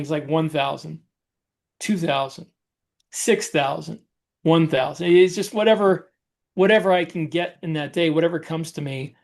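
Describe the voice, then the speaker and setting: A man co-hosting a casual podcast, explaining how he works and often restating his phrases as he goes. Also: a little high. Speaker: podcast co-host, novelist.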